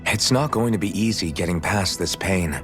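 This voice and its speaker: A male actor plays an earnest young hero in a game story. The voice is notably deep, with plain-spoken, sincere delivery. There is some music underneath. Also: moderate pace, close to an everyday voice. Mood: earnest, hopeful. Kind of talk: dramatic video game cutscene acting. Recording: music playing behind the voice.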